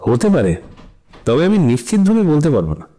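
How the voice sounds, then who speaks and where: A man's voice: on the high side, huge pitch swings, fast talker, warm tone. A man playing a defensive, evasive hotel manager protesting on the witness stand in an audio drama. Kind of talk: audio drama courtroom acting.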